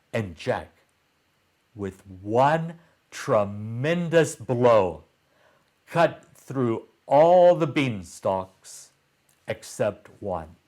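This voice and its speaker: Very slow, deliberate male storyteller. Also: fairly low pitch, a little mellow. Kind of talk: children's storybook read-aloud.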